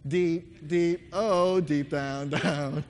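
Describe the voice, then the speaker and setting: A young man preaching a sermon with a hoarse voice, earnestly leading his congregation in song. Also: a little high, steady tone, very slow. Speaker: pastor. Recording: long reverb hall.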